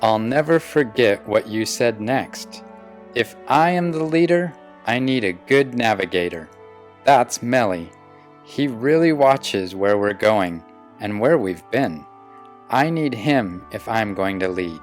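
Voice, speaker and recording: A man voicing a proud, loyal young boy pelican in an earnest, expressive children's picture book read-aloud. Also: on the low side, slow. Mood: fond. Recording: music behind the voice.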